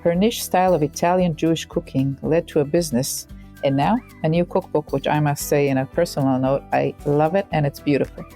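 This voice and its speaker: Woman, interview host, low voice, mellow tone, faint music behind. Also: steady tone, fairly quick. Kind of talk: interview questions and introduction.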